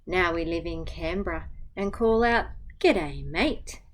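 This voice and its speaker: An Australian woman, a teacher, reading a picture book aloud to children and chatting gently between pages. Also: on the low side, lively intonation, slow pace, low-quality recording. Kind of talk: storytime read-aloud with asides to children.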